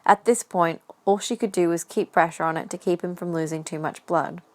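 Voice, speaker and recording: A woman's voice: on the low side, steady tone, fairly quick. A woman reading scripted story narration for an audio drama as the narrator.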